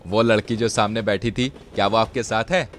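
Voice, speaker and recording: An actor playing a stern, grave middle-aged man on a night train in an animated story. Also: lowish voice, normal speed, a little hiss or hum, natural voice.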